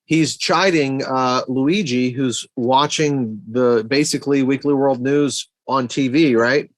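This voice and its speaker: A man's voice: mid-range voice, takes it slowly, dry recording. A man in a casual film discussion on a podcast, talking like an enthusiastic fan and thinking aloud as he goes. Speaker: podcast guest or co-host, movie fan.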